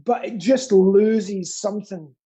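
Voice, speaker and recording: A man's voice: sits high, takes it slowly, warm tone, breathy edge. A man chatting casually as a guest, rambling and earnest, with a self-deprecating streak. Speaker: guest, pantomime actor and theatre stagehand. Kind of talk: casual chat.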